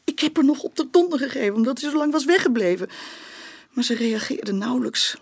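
A woman with a Dutch accent playing an anxious mother who gives a hesitant, halting statement to police in a naturalistic radio drama. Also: high voice, lively intonation, slightly rough, heavily acted. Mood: hesitant, upset. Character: anxious mother recounting her daughter's abuse to police.

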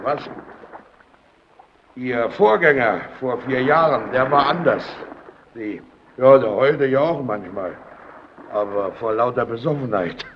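Slow creepy bully boss in old radio drama, taunting, insinuating, muffled noisy tape. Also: male voice, mid-range voice. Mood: taunting, sly. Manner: needling, insinuating, mock-friendly, swinging from shouts to mutters. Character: menacing, taunting male superior bullying a timid underling. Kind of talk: German radio play acting. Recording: dull, noisy old radio play recording.

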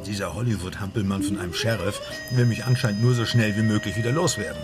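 A fairly low male voice with music underneath. An actor plays a grumpy, suspicious man in a radio crime drama, gruff and sarcastic with his questions. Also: fast, lightly acted. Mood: sulky, irritated.

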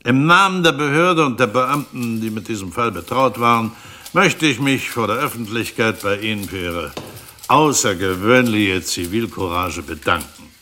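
A man acting in a German radio drama as a formal official, ceremonious and unctuously polite in a measured way. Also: lowish voice, lively intonation, sharp tone. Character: smooth-talking, formal official thanking with grand courtesy.

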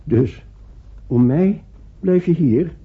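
A man's voice: a little high, expressive, slow, husky, full character voice. An actor playing a lonely, paralysed man in his seventies in a radio play, querulous and self-pitying, with plaintive swings from murmur to outburst. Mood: sceptical, aggrieved. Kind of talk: radio play acting in Dutch. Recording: old radio play, narrow phone-like muffled sound.

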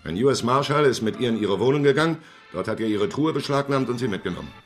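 A man's voice: mid-range voice, lively intonation, quick pace, soft background music, natural voice. An actor playing a naive, trusting and stubborn man who has been swindled, earnest and defensive, in a German radio crime drama.

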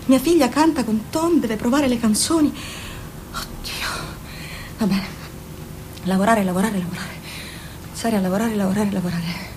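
A woman playing a shrill, overbearing mother. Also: normal speed, pauses often, noisy background, natural voice. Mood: despairing. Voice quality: theatrical, shifts between shrill and hushed. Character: middle-aged mother, anxious, falsely cheerful, overbearing. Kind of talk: radio play acting.

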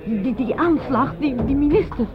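A woman's voice: high-pitched, lively intonation, normal speed, music in the background. An actress playing a frightened, agitated woman in a radio drama, urgent and stammering. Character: frightened, agitated woman insisting she is sane. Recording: phone-like band, crowd noise behind.

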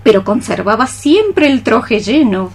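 A woman's voice: on the high side, very animated, unhurried, breathy edge, exaggerated voice. A woman playing a melancholy, dreamy cockroach mystic in radio theatre, sighing her lines as solemn lyrical verse. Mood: wistful.

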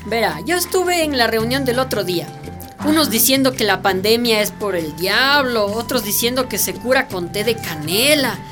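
A woman's voice: a little high, animated, moderate pace, over music, lightly acted. A woman playing an eager, polite eighteen-year-old youth activist in a radio drama. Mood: earnest. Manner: earnest, polite.

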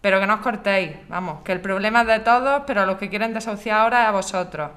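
A woman's voice: mid-range voice, quick pace, a bit bright, close to an everyday voice. A woman with a Spanish accent from Spain playing an encouraging, experienced housing activist in a radio drama. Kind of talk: scripted radio drama dialogue.

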